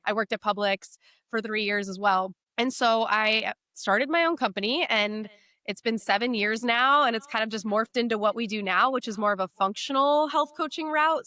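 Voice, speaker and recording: A woman's voice: mid-range voice, sharp tone, clear, resonant tone, no room sound. A woman in her thirties, a guest health coach, talking in a bubbly way with a slightly nasal voice from a cold. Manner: bubbly, fast, laughs often. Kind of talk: casual interview chat.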